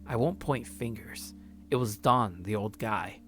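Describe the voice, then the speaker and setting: A man's voice: fairly low pitch, a little slow, fairly bright tone, a little hiss or hum. A man hosting a let's play, reading a visual novel's first-person narration aloud.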